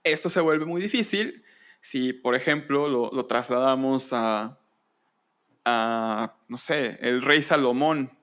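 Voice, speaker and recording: Hombre pausado explicando en un pódcast. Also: male voice, medium pitch, a bit bright. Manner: deliberate, explanatory, with pauses and rhetorical questions. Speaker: podcast host. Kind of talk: conversational explanation of history.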